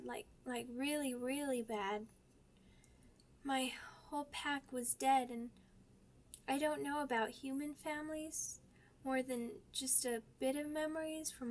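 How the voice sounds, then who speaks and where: High-pitched, timid young werewolf pup. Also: female voice. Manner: childlike, halting, stammering, simple words. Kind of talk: ASMR roleplay audio drama.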